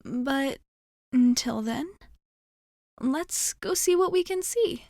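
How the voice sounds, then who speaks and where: Woman acting as a kind alien spaceship pilot, high soft voice, optimistic, hesitant reassurance, ASMR roleplay, close dry recording. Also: animated, slow pace, no room sound, exaggerated voice. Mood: gentle, encouraging. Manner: hesitant, apologetic, rambling reassurance. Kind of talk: soft-spoken ASMR roleplay addressed to the listener.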